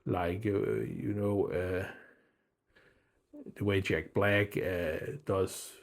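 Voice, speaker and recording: A man's voice: low-pitched, little pitch movement, extremely slow, creaky. A middle-aged man from Denmark, whose first language was German, chatting casually about his old albums, rambling with lots of fillers and restarts. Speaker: music video host.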